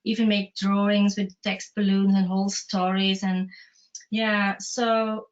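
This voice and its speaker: A woman's voice: mid-range voice, flat delivery, a little slow. Lady hosting a casual online interview, english not her first language, bit self-deprecating, muffled video call sound. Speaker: interview host. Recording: dull lo-fi video-call audio.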